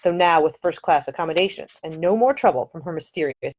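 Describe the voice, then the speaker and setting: A woman's voice: sits low, animated, quick pace, darkish tone, rough recording. A woman reading her own historical novel aloud as scripted audiobook narration.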